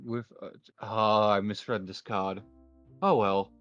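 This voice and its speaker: A man speaking in a measured, understated way as he plays a roleplay card duel and casually announces his moves. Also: on the low side, flat delivery, fairly bright tone, no room sound. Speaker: card game duelist player. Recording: dull lo-fi mic, faint music behind.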